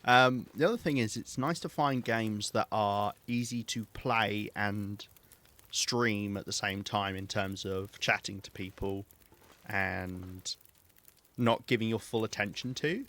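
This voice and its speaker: Calm, chatty southern English man. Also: fairly low pitch, measured pace. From southeast England. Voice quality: calm, soothing voice. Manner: chatty, self-mocking, muses aloud. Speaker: streamer. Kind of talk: casual gameplay chat.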